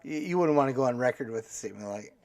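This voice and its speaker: A man hosting a casual interview in a halting, conversational way with dry humour. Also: medium pitch, lively intonation, a little mellow. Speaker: interview host.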